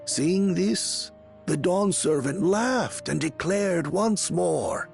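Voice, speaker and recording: A man's voice: sits high, expressive, gravelly, a little mellow, soft background music, exaggerated voice. An actor playing a solemn storyteller in a fantasy video game, speaking formally and theatrically as he recounts old legends. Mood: storytelling. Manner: formal, measured, theatrical delivery.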